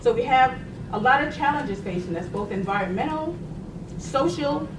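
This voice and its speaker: A woman's voice: sits high, expressive, moderate pace. Woman panelist, middle-aged, from Boston; chatty storyteller; distant, reverberant room recording. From Boston, United States. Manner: chatty, laughs while telling stories. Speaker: panelist, science agency program director. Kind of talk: conversational panel talk. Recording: echoey room, distant mic, noisy.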